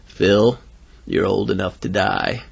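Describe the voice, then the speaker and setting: Storybook villain: man acting an oily tombstone dealer, low-pitched, gravelly, coaxing. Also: fairly even intonation, full character voice. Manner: slow, measured, salesman-like patter. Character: Mister Hadley, oily sinister male tombstone dealer.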